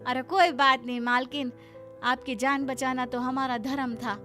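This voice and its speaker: A woman voicing a meek, poor village housemaid in an animated moral story, deferential and pleading. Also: high voice, normal speed, soft background music. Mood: humble.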